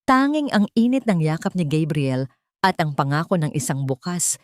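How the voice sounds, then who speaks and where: A woman's voice: sits low, big pitch swings, moderate pace, a little mellow, dry recording. A woman with a Filipino accent narrating a dramatic scripted story as a storyteller.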